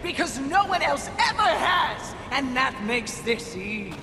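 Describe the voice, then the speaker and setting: A spiteful demon villainess, taunts theatrically in a very high voice. She gloats with wild swings of pitch, hungry for power, in an echoing hall under loud music. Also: big pitch swings, measured pace, exaggerated voice. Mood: gloating, defiant. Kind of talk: theatrical villain game acting.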